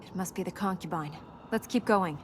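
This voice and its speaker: A woman's voice: on the low side, moderate pace, natural voice. Woman acting a composed young princess, noisy ambience. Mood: determined, dry. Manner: composed, matter-of-fact, gently teasing. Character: earnest, dutiful young princess with dry wit. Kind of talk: video game dialogue acting. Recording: noisy game ambience behind voice.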